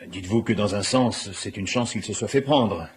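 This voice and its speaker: An actor playing a middle-aged police superintendent in a radio drama, courteous and tactful but firm, speaking formally. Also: male voice, on the low side, normal speed, close to an everyday voice. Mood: measured, firm. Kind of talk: naturalistic French radio drama acting.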